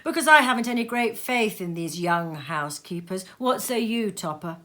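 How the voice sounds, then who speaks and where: A woman voicing a cheerful young gentleman in a storybook reading, hearty, good-humoured and exclamatory. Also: medium pitch, expressive, moderate pace. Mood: good-natured, earnest. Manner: exclamatory, breaking into laughter.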